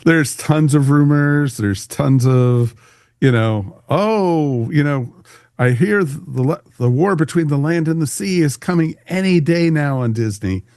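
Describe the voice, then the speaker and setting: A man's voice: a little high, animated, measured pace, a little mellow, dry recording, studio quality. A man hosting a podcast in a casual, chatty way, with a jokey, folksy manner. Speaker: podcast host.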